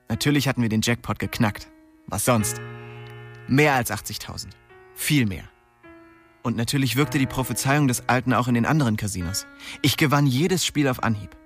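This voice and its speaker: A man acts a young, streetwise dealer narrating a radio crime play. He talks in slangy, hard-boiled phrases at a medium pitch. Music runs underneath the studio recording. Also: natural voice. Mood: tense recounting. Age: young adult. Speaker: narrator and lead character. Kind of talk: first-person radio crime drama narration and dialogue.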